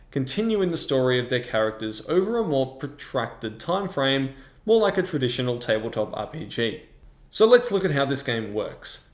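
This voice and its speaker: A man hosting a review in a measured, explanatory way, with semi-scripted narration. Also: medium pitch. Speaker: host of a tabletop game review channel. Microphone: large microphone in front of him. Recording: echoey, reverberant room.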